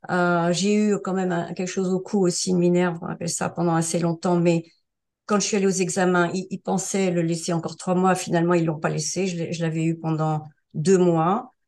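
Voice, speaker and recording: Older woman from France, around seventy, slightly low pitch, halting, full of fillers, casual testimonial. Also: little pitch movement, darkish tone. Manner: hesitant, many fillers and restarts. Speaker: interview guest sharing a testimonial.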